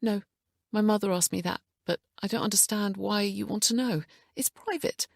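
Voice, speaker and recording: A woman's voice: medium pitch, normal speed, vocal fry, no echo, studio quality, voice pushed up. A woman voicing a fragile, grieving young mother who turns evasive and agitated under questioning. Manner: tense and evasive, voice rising when pressed.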